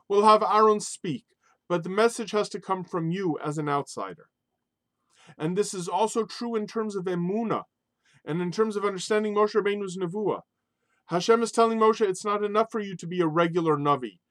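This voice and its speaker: A man giving a spoken Torah lesson in an animated, emphatic way, mixing Hebrew religious terms into English. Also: high voice, no room sound. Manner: animated, emphatic, rises sharply on key points. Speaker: Torah teacher.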